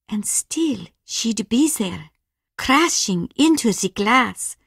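A woman's voice: on the high side, husky, dry recording, studio quality, heavily acted. A woman voicing a courteous, grieving older Japanese mother in a video game, speaking formally and carefully. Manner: formal, measured, careful delivery. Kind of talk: scripted game dialogue.

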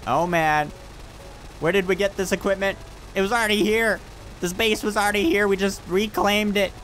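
A man's voice: sits high, steady tone, moderate pace, a bit bright, a little hiss or hum, rough recording. A young man doing goofy, improvised roleplay commentary as host of a gaming video. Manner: playful, goofy.

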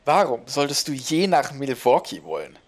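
A man's voice: mid-range voice, big pitch swings, moderate pace. A man voicing a skeptical, rational man in an audio horror story, matter-of-fact and questioning. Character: skeptical, rational man who demands clear answers.

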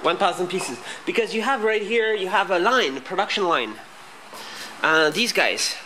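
A man's voice: sits high, animated, moderate pace, pushed and bright, rough recording. A man interviewing casually on a walk-around tour, inquisitive, with short, repeated questions. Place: busy small factory and office. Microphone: handheld camera, speaker behind it. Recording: faint workplace noise.

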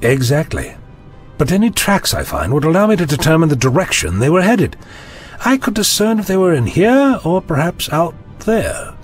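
Man as wry eccentric explorer, very animated, audio drama, self-assured, light music behind. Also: a little high, normal speed. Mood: musing, wry. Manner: musing, wry. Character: eccentric, curious, self-assured time-travelling adventurer.

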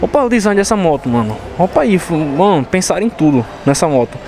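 Excited young Recife biker, high voice. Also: male voice, fast, a little hiss or hum. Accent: Pernambuco Brazilian Portuguese. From Recife, Brazil. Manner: excited, slangy, hype-driven. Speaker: motorcycle vlogger. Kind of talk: casual slangy vlog chat. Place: indoor motorcycle showroom. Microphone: chest-mounted action camera.

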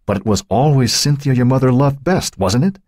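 A man reading the part of a calm male psychiatrist who prods with measured questions, husky voiced. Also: medium pitch, dry recording, clean studio sound, pitched above the performer's own voice, natural voice. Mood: probing. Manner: measured, prodding, faintly mocking.

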